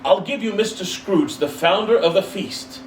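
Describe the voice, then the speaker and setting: A man's voice: sits high, expressive, normal speed, pitched above the performer's own voice. Man playing a kindly father in an echoing room. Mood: warm, festive. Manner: warm, gentle, earnest. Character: kindly, mild poor father proposing festive toasts. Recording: roomy, distant mic, faint background noise.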